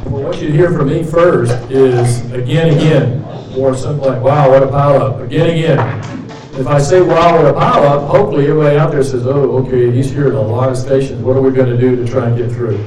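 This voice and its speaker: A man's voice: mid-range voice, quick pace, a crowd in the background. Man teaching informally in an echoey room. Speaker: instructor leading a club training session. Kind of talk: informal teaching talk with questions to group. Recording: echoey room, distant mic, audience noise.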